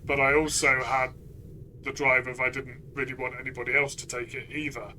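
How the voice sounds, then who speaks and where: Mid-pitched man chatting over background noise. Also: level tone, a little fast, bright, forceful tone. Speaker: player discussing a game. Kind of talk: casual chat.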